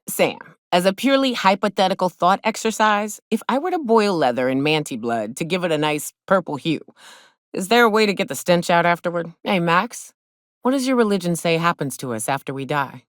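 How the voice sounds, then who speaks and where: Video game companion: hard-drinking wilderness guide played by a woman, deep female voice, animated delivery, blunt folksy wit. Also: moderate pace, dry recording, studio quality, lightly acted. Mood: weary, questioning. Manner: blunt, wry, folksy frontier speech with ain't and mite. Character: gruff, hard-drinking frontier woman, hunter and guide for hire.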